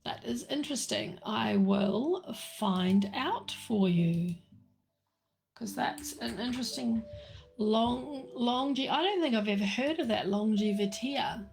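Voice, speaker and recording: A middle-aged woman hosting a live chat about tea, talking slowly with lots of fillers, her voice a little croaky. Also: on the high side, lively intonation, darkish tone, rough recording. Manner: slow, meandering, many fillers and restarts. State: slightly croaky. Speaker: livestream host answering viewers.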